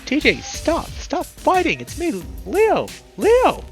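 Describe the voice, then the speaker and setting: A man voicing a burly, protective male wolf in a visual novel, by turns commanding and gently coaxing. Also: on the low side, expressive, moderate pace, creaky, over music. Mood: urgent, shouting.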